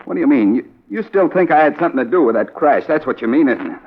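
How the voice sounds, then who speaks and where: A man's voice: on the high side, big pitch swings. An American man playing a nervous, defensive airline worker who stammers through questioning in an old radio drama. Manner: halting, stammering repeats. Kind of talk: 1940s-50s radio drama acting. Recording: dull old radio recording, light noise.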